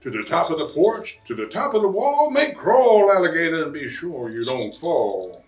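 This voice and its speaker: A man voicing an excitable bayou papa who tells a rhyming Christmas storybook tale in a folksy, animated way with drawn-out emphasis. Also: fairly high pitch. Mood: excited. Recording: roomy, distant mic, faint music.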